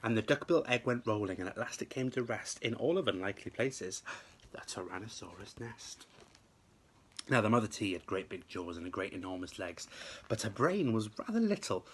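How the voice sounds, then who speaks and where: Forceful, slightly husky man reading a storybook. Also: on the low side, lively intonation, moderate pace. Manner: emphatic, rhythmic delivery of rhyme. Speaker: teacher reading a story to pupils. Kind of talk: expressive children's storybook reading.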